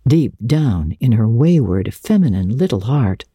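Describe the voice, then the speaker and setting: A woman reading a classic novel as a dramatic audiobook, with measured, expressive storytelling. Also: extremely low pitch, slightly rough, dry recording. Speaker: audiobook narrator.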